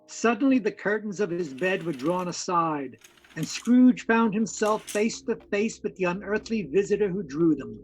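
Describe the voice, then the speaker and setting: A man's voice: sits high, lively intonation, moderate pace, faint music behind, poor audio. A man narrating an audio drama in formal, literary, dramatic storytelling phrases.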